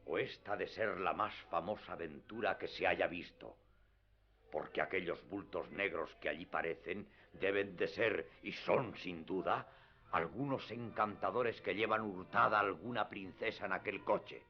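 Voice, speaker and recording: A very low-voiced knight-errant of radio drama, grandiose and stern. He declaims in solemn, archaic phrases, sure of himself, through a somewhat muffled recording. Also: unhurried. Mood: excited conviction. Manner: pompous, declamatory, archaic formal speech.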